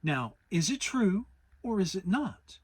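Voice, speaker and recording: A storybook reader voicing a gentle, earnest, slow-witted bear with a halting, deliberate manner. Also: mid-range voice, big pitch swings, husky, no room sound, voice pushed up, full character voice. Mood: earnest recital. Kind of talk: dramatic children's storybook reading.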